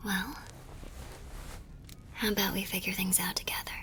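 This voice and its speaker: A woman playing a tender, teasing young war nurse and sweetheart in an intimate ASMR romance roleplay, murmuring softly and breathily. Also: medium pitch, flat delivery, normal speed, slight background noise, close to an everyday voice. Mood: hopeful, tender. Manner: soft, breathy, affectionate murmuring.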